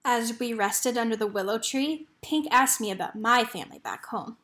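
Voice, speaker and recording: A woman with a fairly high voice reads a picture book aloud. She narrates in an expressive storytelling style. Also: normal speed.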